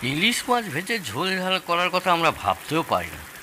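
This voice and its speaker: A man's voice: a little high, huge pitch swings, normal speed, sharp tone, slight background noise, exaggerated voice. A man playing a genial, nostalgic older father-in-law who loves telling stories in an audio drama. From Bangladesh. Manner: expansive, nostalgic storytelling. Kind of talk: Bengali audio drama acting.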